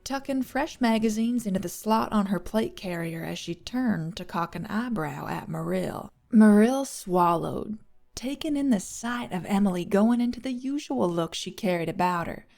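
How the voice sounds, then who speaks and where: A woman's voice: mid-range voice, expressive, normal speed, gravelly, a little mellow. A woman reading scripted third-person fiction as an audiobook narrator.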